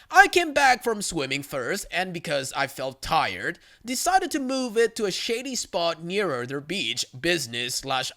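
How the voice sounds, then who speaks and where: A man's voice: a little high, pushed and bright. A man reading first-person online stories as a scripted narration.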